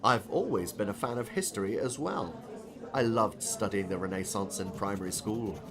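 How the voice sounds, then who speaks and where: An older retired professor and novelist played by a man, genial and a little corny. His pitch moves expressively as he speaks with courteous, philosophical warmth in an audiobook drama. A crowd murmurs behind him. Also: lively intonation. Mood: cheerful. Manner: courteous, gently philosophical, measured.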